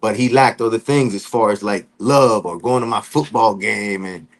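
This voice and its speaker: Man, low voice, from Florida, casual slangy storytelling, podcast guest. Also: normal speed. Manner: relaxed street slang, frequent "you know what I'm saying".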